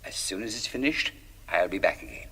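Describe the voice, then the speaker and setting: Taunting ghost poet, deep husky voice, long cavernous reverb, slow, intimate, eerie radio drama. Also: male voice, big pitch swings, bright, forceful tone, full character voice. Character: ghostly dead poet, taunting male voice in the night. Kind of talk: radio mystery drama, eerie verse recital.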